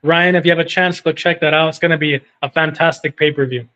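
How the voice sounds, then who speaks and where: A man's voice: a little high, steady tone, fast, bright, forceful tone. A man from California calling in to a sports talk show, rambling casually, with lots of restarts. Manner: rambling, lots of fillers and restarts, says dude. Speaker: caller. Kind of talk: casual chat on a call-in show. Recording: muffled phone-in audio.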